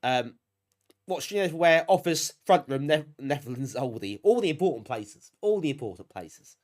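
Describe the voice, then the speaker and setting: A British man hosts a live stream in a fairly high voice with very animated intonation. He sounds bunged up with a cold. He chats casually with viewers in a self-deprecating, appreciative way. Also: moderate pace. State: bunged up, getting over a cold. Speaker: live streamer hosting a retro gaming stream.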